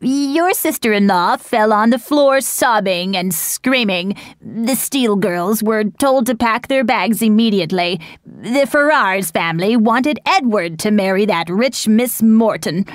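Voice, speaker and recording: A woman's voice: mid-range voice, expressive, measured pace, hardly any pauses, dry recording, clean studio sound, close to an everyday voice. A woman voicing an outspoken, gossipy older widow who talks in a blunt, chatty way.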